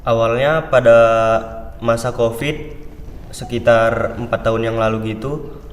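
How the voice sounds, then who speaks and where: Flat-voiced teenage boy, echoey room. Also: mid-range voice, a little slow. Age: teens. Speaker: student guest. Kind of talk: casual interview answers.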